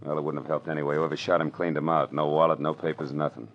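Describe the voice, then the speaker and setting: An actor with an American accent playing a wry, world-weary private detective in an old-time radio noir drama. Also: male voice, extremely low pitch, flat delivery, fast, highs cut off. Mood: cool, probing. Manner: wry, hard-boiled. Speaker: actor playing detective and first-person narrator. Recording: vintage radio drama with music behind.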